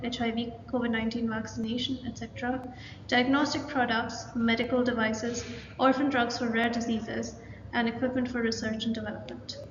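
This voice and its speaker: A woman's voice: fairly high pitch, lo-fi sound, noisy background. Young woman reading slides in an echoey room. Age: young adult. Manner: even, read-aloud delivery with list-like pacing. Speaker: student presenter. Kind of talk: scripted presentation narration.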